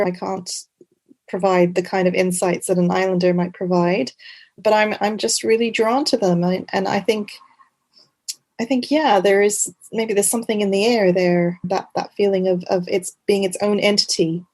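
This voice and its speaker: Earnest, halting woman in interview. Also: mid-range voice, darkish tone. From Montreal, Canada. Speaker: interview guest, author and journalist. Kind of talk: reflective conversational interview.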